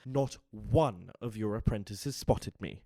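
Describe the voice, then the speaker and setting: A man's voice: lowish voice, takes it slowly, warm tone, no room sound. A man playing a sly, impudent vampire seer in an audio drama, speaking in a florid, mock-courteous, dramatic manner. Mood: mock-wounded, impudent.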